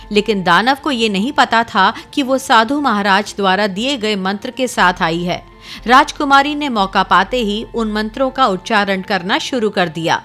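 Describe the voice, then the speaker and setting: A woman narrating a cartoon fairy tale in a steady, dramatic storytelling way. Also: medium pitch.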